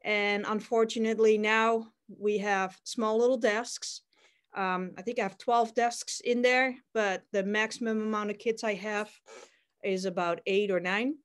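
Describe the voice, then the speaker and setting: A middle-aged woman from the Netherlands with a Dutch accent, a ceramics teacher introducing her work over a video call in a plain, steady way with many fillers. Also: mid-range voice, unhurried.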